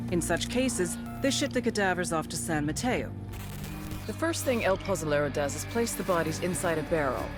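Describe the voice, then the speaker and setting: An actress playing a grim, outraged intelligence agent in a game cutscene, speaking in a measured, hard-edged way. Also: female voice, fairly low pitch. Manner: measured, hard-edged, foul-mouthed when angry. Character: grim, outraged intelligence agent woman recounting cartel horrors. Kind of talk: dramatic scripted narration in a game cutscene.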